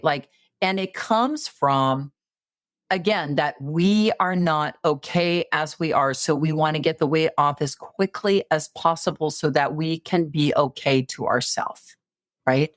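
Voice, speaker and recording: Low-voiced woman in her fifties. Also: slow, dry recording, studio quality. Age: 50s. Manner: animated, many fillers and restarts. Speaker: podcast guest. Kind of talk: conversational coaching advice.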